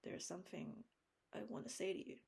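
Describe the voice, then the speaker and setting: A woman reading an audiobook voices an earnest young man, speaking quietly and low. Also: a little fast, creaky, husky, heavily acted. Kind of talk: audiobook character voice.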